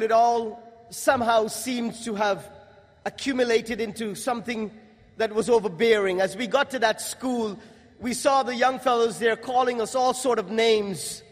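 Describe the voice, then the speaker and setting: A young man from Guyana giving a religious testimony to an audience, in a measured, emphatic delivery with pauses. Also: extremely high pitch. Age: young adult. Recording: long hall reverb.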